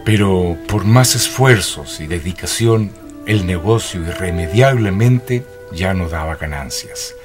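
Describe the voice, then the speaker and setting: A man's voice: low voice, animated, a little slow, few pauses, music in the background. A man narrating a radio drama in a scripted, dramatic storytelling style.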